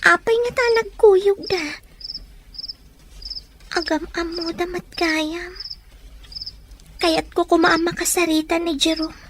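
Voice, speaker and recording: An actress playing an insistent, lovestruck young student in a serial audio drama. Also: female voice, extremely high pitch, fairly even intonation, slow, pauses often. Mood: pining. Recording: clearly noisy with clicks.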